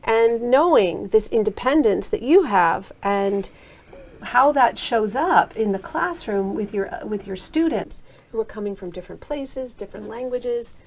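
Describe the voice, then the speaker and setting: A woman's voice: fairly high pitch, animated, measured pace. A woman hosting a conversation in a university studio, asking reflective follow-up questions. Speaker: host. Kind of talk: conversational interview.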